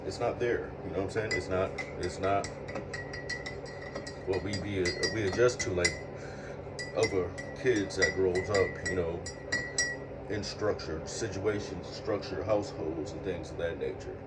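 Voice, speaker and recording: A man in his late thirties with a very low voice talks earnestly in a reflective monologue, often repeating himself as he works through a thought. He sounds set back from the microphone, and a steady hum of air conditioning and passing vehicles sits clearly behind him. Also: a little slow, long pauses, recorded from a distance. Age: 30s. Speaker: speaker sharing life lessons.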